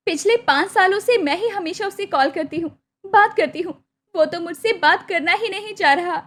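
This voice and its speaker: A woman's voice: very high-pitched, normal speed, pitched above the performer's own voice. A woman voicing a hopeful, devoted young village sister in a cartoon story, earnest and pleading. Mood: sad, worried. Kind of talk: Hindi cartoon moral story acting.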